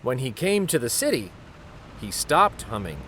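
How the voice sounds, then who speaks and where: A man reading a children's picture book aloud as a storyteller, with an unhurried, expressive delivery. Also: mid-range voice, a little hiss or hum.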